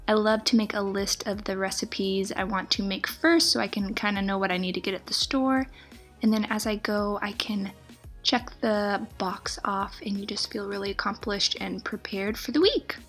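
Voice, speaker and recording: A young woman hosting a cooking channel, giving a chatty, enthusiastic recipe voice-over. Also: medium pitch. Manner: upbeat, chatty, enthusiastic about food. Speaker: plant-based cooking channel host. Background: soft background music.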